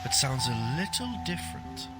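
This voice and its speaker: An actor playing a learned, polite talking apple in a video game, with a refined, scholarly manner. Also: male voice, mid-range voice, sing-song, normal speed, lightly acted. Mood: curious, analytical. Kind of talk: scripted game voice acting. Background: background music.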